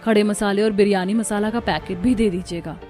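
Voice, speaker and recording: A woman's voice: mid-range voice, fairly even intonation, fairly quick, warm tone, soft background music, natural voice. Earnest young daughter-in-law, voiced by a woman. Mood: polite. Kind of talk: Hindi cartoon story voice acting.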